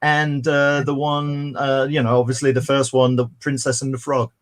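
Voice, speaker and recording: A man co-hosting a casual comics livestream, chatty and rambling with bursts of excitement. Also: medium pitch, moderate pace. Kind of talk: casual chat.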